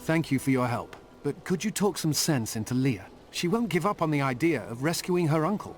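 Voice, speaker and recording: Guy doing earnest militia captain in a fantasy game, kinda high voice w lots of swing, bossy urgent. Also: animated, fairly quick, soft background music, close to an everyday voice. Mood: grave. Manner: urgent, commanding. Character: earnest battle-worn male militia captain. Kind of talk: fantasy video game voice acting.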